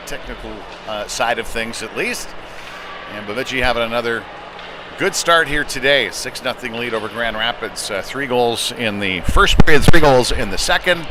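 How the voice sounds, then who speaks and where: A man doing informal, conversational live play-by-play commentary of a hockey game. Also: mid-range voice. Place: indoor community ice rink. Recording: noisy ice arena ambience.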